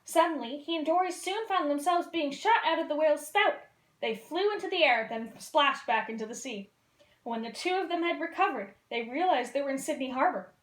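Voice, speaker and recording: A woman reading a children's picture book aloud as a costumed storyteller, in a lively, expressive way. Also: high voice, fairly quick. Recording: roomy echo.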